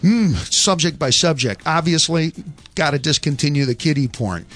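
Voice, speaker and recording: A man's voice: a little high, expressive, normal speed, a bit bright. A man hosting a call-in radio show, arguing his points in a rambling way with wry asides.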